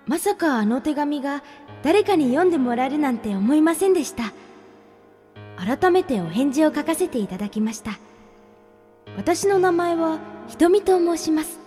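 Radio drama pen pal: actress as sweetly polite, secretive young woman, high lilting delivery, heavily reverberant. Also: animated, moderate pace, warm tone. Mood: polite, grateful. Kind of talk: radio drama dialogue, reading letters aloud. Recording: long reverb, music behind.

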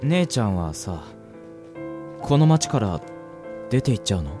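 Little brother role, male actor: childlike, pleading, swooping intonation, clear piano music behind. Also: fairly low pitch, very animated, measured pace. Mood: clingy, anxious. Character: clinging, affectionate young little brother. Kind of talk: Japanese audio drama acting.

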